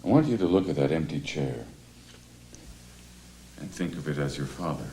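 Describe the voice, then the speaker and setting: An actor playing an unflappable group therapist in a comedy film, measured and clinical as he probes his patients. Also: a bass voice, expressive, mellow, low-quality recording. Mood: calm, probing.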